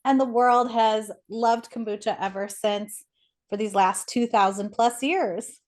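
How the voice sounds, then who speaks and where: A woman's voice: on the high side, a little slow, darkish tone. A woman speaking as an expert interview guest, explaining things conversationally in an articulate, enthusiastic way.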